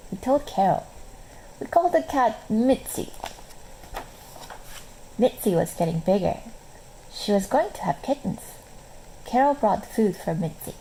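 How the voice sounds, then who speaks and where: Slow woman storyteller with lively pitch. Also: vocal fry, slight background noise, poor audio. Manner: expressive, measured storytelling for young children. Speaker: storyteller reading a children's book aloud. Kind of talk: scripted children's story narration.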